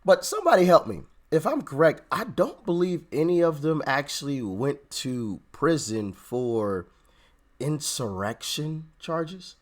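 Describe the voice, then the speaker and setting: Hoarse, sarcastic male commentator. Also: fairly high pitch, very animated, a little slow. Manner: sarcastic, laughing asides. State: hoarse, losing his voice. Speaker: political commentary YouTuber. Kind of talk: reaction commentary.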